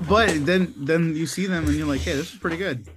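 A man hosting a podcast in casual chat, his voice a little raspy, rambling with lots of filler words. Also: a little high, fairly quick, a crowd in the background. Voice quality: slightly raspy voice. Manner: chatty, loose, with many filler "like"s. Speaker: podcast host.

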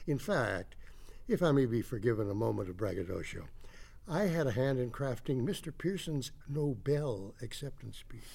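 A man's voice: mid-range voice, expressive, normal speed, creaky, a little mellow, husky. An actor playing an older, courtly speechwriter in an audio drama, pompous and ornate in his old-fashioned diction. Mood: grandly pompous.

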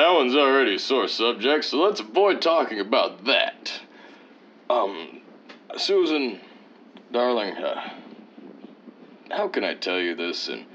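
An actor playing a pompous, easily indignant thespian husband in an old-time radio comedy, with a theatrical delivery. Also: male voice, lowish voice, a bit bright, slight background noise, heavily acted. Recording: dull lo-fi old radio sound.

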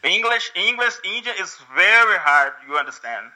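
A Brazilian man with a Portuguese accent talks in English, his native Portuguese showing through. His voice sits a little high and sounds bright and sharp. He hesitates constantly, with fillers and restarts as he searches for words. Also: lively intonation, normal speed, low-quality recording. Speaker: guest, English learner. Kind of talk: casual conversation.

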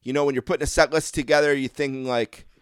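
A man hosting a casual interview talks fast and keeps his questions long and winding. His voice is smooth and clear, and the recording sounds dry and close. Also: mid-range voice, steady tone, dry recording. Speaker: podcast host.

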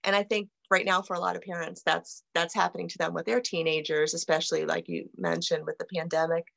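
A middle-aged woman from central New York, a guest on a video call, telling a family story in an earnest, measured way. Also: on the low side, flat delivery, gravelly, a bit bright. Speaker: guest, author. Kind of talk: interview answers.

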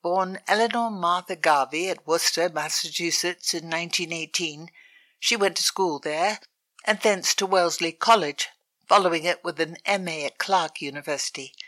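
Woman reading an obituary, bright clear tone, steady and measured. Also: on the low side. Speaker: reader.

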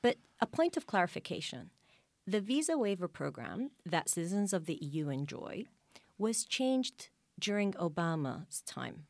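A woman's voice: lowish voice, very animated, normal speed, no echo. A woman born in Sweden, a guest on a studio discussion, making firm and precise points. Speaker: panel guest.

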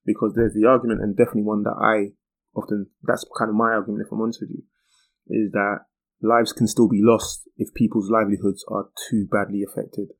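A man's voice: fairly low pitch, flat delivery, a little fast, poor audio. A British man hosting a podcast, explaining things in a chatty, informal monologue. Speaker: podcast host.

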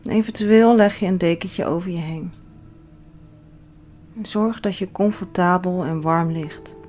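A woman leading a guided relaxation as a yoga instructor, giving soothing, measured instructions with pauses. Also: fairly low pitch, a little mellow. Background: background music.

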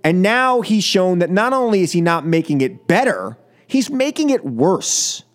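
High-pitched, animated American man, combative radio host. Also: moderate pace. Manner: combative, emphatic, repeats phrases for effect. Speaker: political talk radio host. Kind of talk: opinionated call-in radio monologue.

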